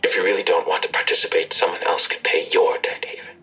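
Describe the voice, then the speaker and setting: An actor playing a menacing, coldly insistent blackmailer in an audio drama, taunting and deliberate, with a gravelly, husky voice that slips into whispers. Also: male voice, deep voice, fairly even intonation, pushed and bright, exaggerated voice. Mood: quietly threatening. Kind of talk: full-cast audio drama acting.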